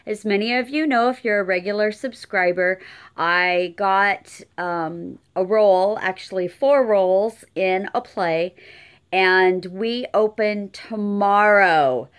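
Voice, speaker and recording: A middle-aged woman giving a casual update from home, tired and a little hoarse, chatting with many fillers. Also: medium pitch. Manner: chatty, unhurried, lots of fillers. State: tired, losing her voice. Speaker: channel host. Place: cluttered room at home. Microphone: webcam close up.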